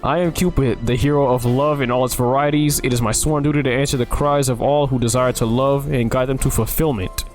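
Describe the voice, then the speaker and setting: A man's voice: mid-range voice, fairly even intonation, fairly quick, hardly any pauses, a little mellow, lightly acted. Guy putting on a grand old-fashioned Cupid, formal delivery, game read-aloud, faint music under it. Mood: lofty. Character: lofty formal male Cupid, guardian of love. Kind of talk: reading a dating game aloud in voices.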